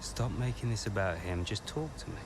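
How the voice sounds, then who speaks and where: An actor playing a cocky, needling ex-racing driver in a video game, talking in a casual, matey way. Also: male voice, fairly low pitch, expressive, brisk, lightly acted. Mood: insistent. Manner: casual, hesitant fillers, matey. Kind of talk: dramatic game cutscene dialogue. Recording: clear background noise of cars outdoors.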